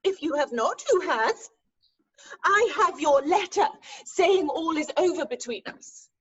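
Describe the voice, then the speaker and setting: A high-pitched, indignant young lady of Victorian farce, played by a woman. She is haughty, self-possessed and theatrical in her delivery. The recording is dull, like a remote call. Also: lively intonation, measured pace, a bit bright, exaggerated voice. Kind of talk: staged reading of Victorian farce.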